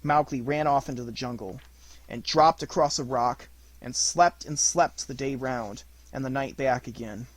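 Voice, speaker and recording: A man's voice: mid-range voice, moderate pace, dry recording, low-quality recording. A man reading a literary story aloud as an audiobook narrator, in a scripted narration style.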